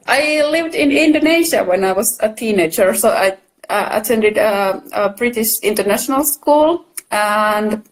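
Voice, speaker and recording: A woman from Finland, a native Finnish speaker, talking casually as a knitwear designer guest in a live video chat, soft-spoken and friendly. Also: medium pitch, poor audio.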